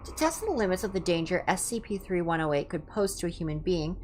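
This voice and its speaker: Low-voiced woman reading aloud on a livestream. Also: lively intonation, fast, a little mellow, a little hiss or hum. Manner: informal, stumbles over Latin words and comments on the text. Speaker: livestream host reading horror stories aloud. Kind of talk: livestream reading of clinical reports with casual asides. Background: music.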